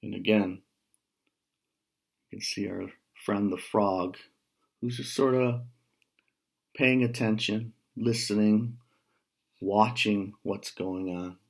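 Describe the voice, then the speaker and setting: An American man in his fifties reading a children's picture book aloud, with measured, casual explanatory asides. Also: lowish voice, fairly even intonation, vocal fry. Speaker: storyteller, writer. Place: small room at home.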